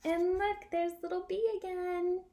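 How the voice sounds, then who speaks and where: Young female read-aloud teacher for little kids: high, unhurried, animated, sing-song delivery. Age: young adult. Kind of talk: picture-book read-aloud for young children with sign lessons. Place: small room at home by a window.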